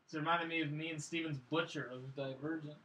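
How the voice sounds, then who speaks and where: A man's voice: on the high side, steady tone, quick pace, fairly bright tone. A man chatting casually as a panelist on a movie podcast. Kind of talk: casual chat. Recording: roomy echo.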